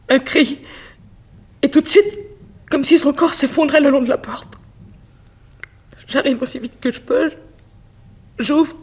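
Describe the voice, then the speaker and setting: High-voiced, plaintive bereaved mother. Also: darkish tone, husky. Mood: anguished. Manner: plaintive, wistful, dramatic. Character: grieving mother of a murdered daughter, former seamstress. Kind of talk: 1960s French radio mystery acting.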